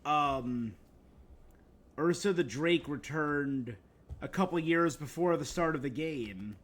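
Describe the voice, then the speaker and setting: Higher-voiced man casually streaming a game. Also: slow. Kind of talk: casual game commentary and lore explanation.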